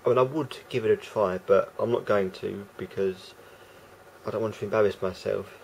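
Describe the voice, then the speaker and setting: Croaky, rambling low-voiced man. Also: fairly even intonation, normal speed, slight background noise, rough recording. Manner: rambling, hesitant, full of fillers and restarts. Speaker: vlogger. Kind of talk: casual monologue to camera.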